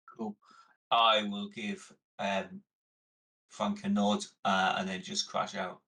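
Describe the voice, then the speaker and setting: A man's voice: on the low side, flat delivery, slow, bright, forceful tone, recorded from a distance, rough recording. A man playing a tabletop roleplaying game, describing his character's actions in a laid-back, informal way.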